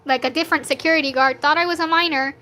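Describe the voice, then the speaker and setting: A woman's voice: high-pitched, fairly even intonation, rapid-fire, bright, forceful tone. A nineteen-year-old woman with a youthful voice that sounds younger than her age, chatting casually in a slangy, rambling, self-deprecating way as she streams her drawing. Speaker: streamer drawing fan art live. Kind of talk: casual livestream chat while drawing.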